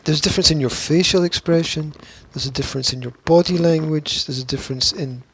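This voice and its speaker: A man's voice: fairly high pitch, fast talker, breathy edge. A man co-hosting a casual, argumentative podcast, speaking insistently, repeating himself, cutting in and swearing freely. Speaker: podcast co-host. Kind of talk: casual argumentative banter between co-hosts.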